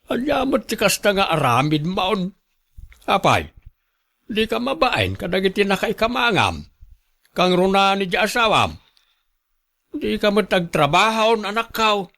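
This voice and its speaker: A man playing a stern, weary older father in a radio drama, admonishing in drawn-out phrases. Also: high voice, sing-song, sharp tone, heavily acted. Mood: scolding. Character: stern, weary old father scolding his idle son. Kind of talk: Ilocano radio drama acting.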